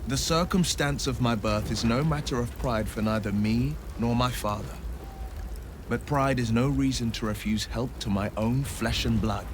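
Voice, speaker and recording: An actor playing a gallant, earnest young warrior hero in a video game, courtly and gently teasing in his speech. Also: medium pitch, moderate pace, noisy background, lightly acted. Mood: concerned, resolute. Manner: courtly, eloquent phrasing with soft hesitations. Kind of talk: video game dialogue acting.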